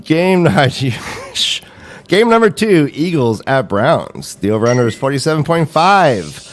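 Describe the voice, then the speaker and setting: A man's voice: a little high, measured pace. A man hosting a comedic fantasy football livestream, joking and reading off stats. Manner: animated, joking, reads stats. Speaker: livestream host.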